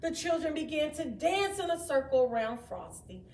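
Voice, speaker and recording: A woman reading a children's story aloud in an expressive, deliberate way. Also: high-pitched. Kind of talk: children's read-aloud narration. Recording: echoey room, distant mic, faint noise.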